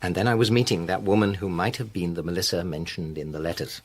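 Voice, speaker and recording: Troubled gentle husband in a radio play, really deep voice, bit husky, hesitant and halting. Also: male voice. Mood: anxious, confessing. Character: troubled, gentle husband and father with bad news. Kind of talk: radio drama acting.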